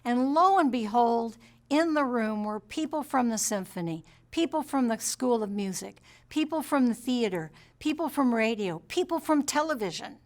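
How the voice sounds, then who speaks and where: Woman recounting events with measured emphasis. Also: fairly high pitch, lively intonation. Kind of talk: storytelling documentary interview.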